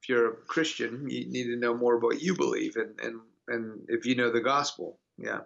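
A man's voice: medium pitch, steady tone, a little fast, gravelly, a bit bright, dull audio. A man from Houston, a pastor, earnestly telling his story in a radio interview. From Houston, Texas.

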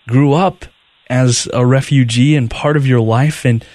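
A man's voice: mid-range voice, fairly even intonation, unhurried, darkish tone, no echo. A man hosting a radio interview, conversational, guiding the guest with short questions and affirmations. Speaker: radio host.

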